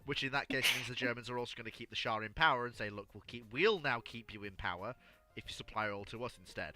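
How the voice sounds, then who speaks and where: Bright, forceful man, stammering. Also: mid-range voice, fast. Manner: stammering, repeats words as he thinks. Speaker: livestream host answering listener questions. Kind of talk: casual alternate-history discussion.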